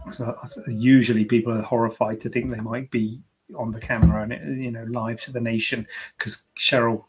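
A man's voice: mid-range voice, level tone, brisk, slight background noise, rough recording. A man, a plastic surgeon, hosting a live-streamed question and answer session in a chatty, joking way, explaining medical advice in plain terms. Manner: chatty, joking, self-deprecating asides. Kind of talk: live-streamed Q&A, casual chat.

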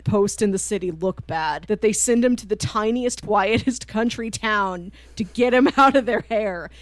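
A woman's voice: medium pitch, no room sound. Chatty woman podcaster, dry close sound. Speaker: podcast co-host. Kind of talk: casual chat, pitching films to co-host.

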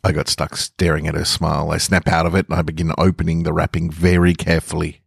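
A man's voice: rumbling low, level tone, fast talker, vocal fry, husky, no room sound, studio quality, exaggerated voice. A man reading a first-person story as a shy, lovestruck young man, awkward and awestruck. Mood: awestruck, nervous.

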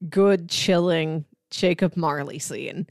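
A woman's voice: sits low, takes it slowly, a little mellow, dry recording, studio quality. A woman co-hosting a film podcast, chatting casually about movies in a wry, joking way.

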